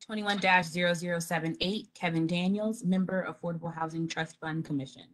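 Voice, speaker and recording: A woman reads out bill numbers, names and board titles as clerk of a council meeting, speaking on a video call. Her reading is measured and formal, in a slightly low register. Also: steady tone, rough recording. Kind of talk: reading agenda items aloud.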